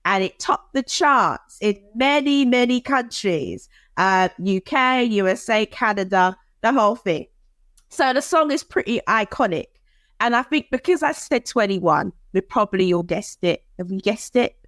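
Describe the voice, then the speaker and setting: A woman co-hosting a podcast in casual chat, animated and quick to laugh, with dramatic asides. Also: medium pitch, dry recording. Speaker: podcast co-host.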